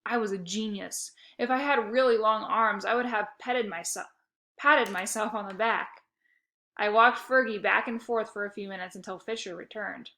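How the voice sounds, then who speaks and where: A woman reading a children's chapter book aloud in a lively storytelling manner. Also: mid-range voice, normal speed. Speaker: reader.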